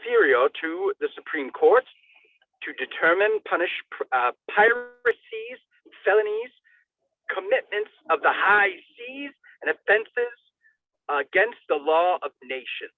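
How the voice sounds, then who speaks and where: Through a thin, telephone-like band with little room sound comes a man reading aloud in a high-pitched voice. His delivery is theatrical and declamatory, swinging widely in pitch, and he trips over words and goes back to repeat them. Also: wildly swooping pitch, measured pace, dry recording. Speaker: reader of a public document. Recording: tinny, phone-like narrow band sound.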